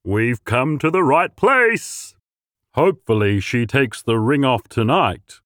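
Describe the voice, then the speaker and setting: A man doing a loud, clever old mutt dog. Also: medium pitch, expressive, slow pace, no room sound, studio quality. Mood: hopeful. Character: scruffy clever male mutt dog, gruff and firm.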